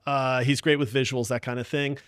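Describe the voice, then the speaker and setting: A man's voice: mid-range voice, flat delivery, a little fast, a bit bright, clean tone, no room sound, clean studio sound. A man hosting a podcast in casual chat, with an enthusiastic, informal way of talking. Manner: enthusiastic, informal, addresses others as "man" and "dude". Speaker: podcast host.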